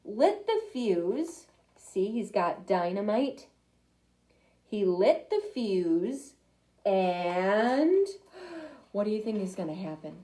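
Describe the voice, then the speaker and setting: A young woman reading a picture book aloud to children, expressive, with little asides to her listeners. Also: mid-range voice, slow. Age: young adult. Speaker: library storytime reader.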